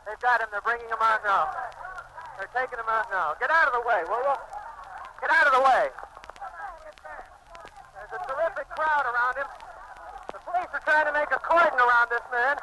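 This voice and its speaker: Man, very high-pitched, urgent live news commentary, noisy vintage radio relay, street reporting. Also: moderate pace, pauses often. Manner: urgent, excited. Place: outdoors in a city street.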